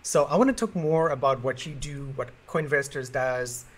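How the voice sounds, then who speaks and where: A man from South Africa hosts the interview and puts his questions politely, in a conversational way. His voice sits at an ordinary male pitch. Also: normal speed. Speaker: interview host.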